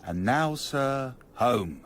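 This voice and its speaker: A deferential male assistant from a radio play. His mid-pitched voice moves up and down in a lively way. Faint noise sits behind him. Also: extremely slow. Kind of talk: radio drama acting.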